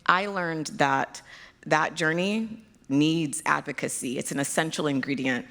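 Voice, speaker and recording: Nigerian american lady speaking at a conference, low voice with some gravel, laughs a lot and chats with the crowd. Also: a little slow, fairly bright tone. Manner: chatty, self-deprecating, laughs often. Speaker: pediatric hematologist, keynote speaker. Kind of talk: conference talk. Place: conference hall stage.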